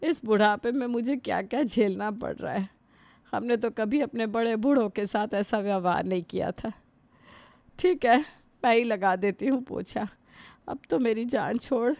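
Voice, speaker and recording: A woman's voice: fairly high pitch, moderate pace, gravelly, a little mellow, no room sound. A woman playing an elderly, put-upon mother-in-law in a family drama, complaining and lamenting to God. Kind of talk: Hindi family drama acting.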